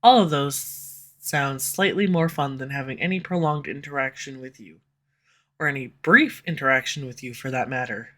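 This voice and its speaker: Woman doing a snarky villain, bright edgy voice, sarcastic teasing all the way through. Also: a bass voice, normal speed. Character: snarky sharp-tongued villain, slightly tsundere. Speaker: audio roleplay voice actor. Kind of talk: scripted audio roleplay. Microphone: USB condenser mic.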